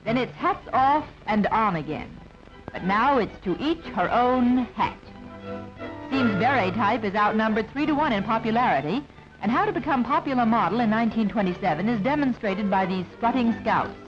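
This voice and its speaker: Newsreel narrator, light playful tone, muffled old soundtrack under clear music. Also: mid-range voice, animated, normal speed. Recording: dull lo-fi archival sound over music.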